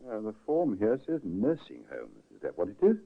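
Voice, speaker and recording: An actor in a 1950s radio drama playing a brisk, probing man who works as a registrar. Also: fairly low pitch, huge pitch swings, creaky, breathy edge, exaggerated voice. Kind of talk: 1940s radio drama acting. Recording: tinny old radio broadcast.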